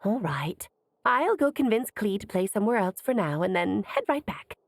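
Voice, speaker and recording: A woman's voice: mid-range voice, sing-song, moderate pace, faint music behind. A woman voicing a playful, self-assured female mage in a video game, breezy and genteel yet commanding. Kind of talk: animated game dialogue.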